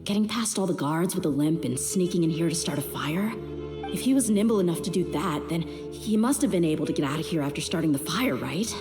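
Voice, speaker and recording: Low-voiced, tough mercenary woman, played by an actress. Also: animated, fairly quick, lightly acted. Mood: brooding. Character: tough, blunt mercenary woman, guarded and brooding. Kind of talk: video game voice acting. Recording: game music behind the voice.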